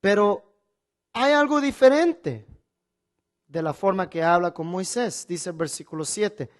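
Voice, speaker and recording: A man delivering a Bible teaching sermon as a preacher, phrasing things deliberately and emphatically, with rhetorical questions and repetition. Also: high voice, expressive.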